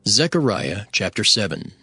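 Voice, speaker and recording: A man narrating scripture from a script in a formal, measured manner. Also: on the low side, sing-song, bright, forceful tone, breathy edge, no room sound, clean studio sound, faint music behind.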